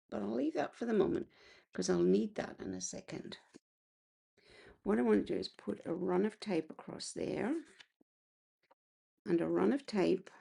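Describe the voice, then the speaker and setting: Casting: unhurried crafter woman, gravelly and husky, croaky today. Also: medium pitch, warm tone. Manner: chatty, clears throat often. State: slightly croaky voice. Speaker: card-making crafter. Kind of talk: casual craft tutorial.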